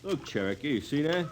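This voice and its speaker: An actor playing a crude, uncaring astronaut crewman in an old radio drama, with a loud, dismissive way of talking. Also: mid-range voice, close to an everyday voice. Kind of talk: mid-century radio drama acting. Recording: old radio recording, reverberant, hissy.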